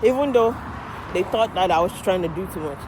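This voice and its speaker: A woman from Nigeria with a Nigerian accent, chatting to her vlog camera while walking outdoors, animated and outspoken, swearing freely. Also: mid-range voice, fairly quick. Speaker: vlogger, medical assistant. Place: outdoors on a residential street. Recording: street with passing traffic noise. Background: cars and street ambience.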